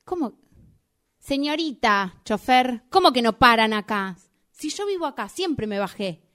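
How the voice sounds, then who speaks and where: A woman's voice: a little high, lively intonation, a little slow, fairly bright tone. A woman playing an insistent, exasperated bus passenger pleading to get off in an amateur radio drama. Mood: indignant, insistent. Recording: noisy amateur recording with vehicle ambience. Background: bus and vehicle ambience.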